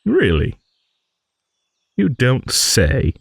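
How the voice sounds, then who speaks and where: A man playing a gentle, courteous old dragon who rambles hesitantly in an improvised fantasy audio roleplay. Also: mid-range voice, huge pitch swings, gravelly, husky, no echo, exaggerated voice. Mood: bemused, modest. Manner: rambling, hesitant, genteel, with musing hums and pauses.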